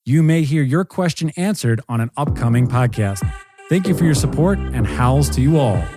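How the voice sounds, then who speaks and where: A man's voice: medium pitch, animated, normal speed, over music. A man hosting a podcast in a casual, conversational style. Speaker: podcast host.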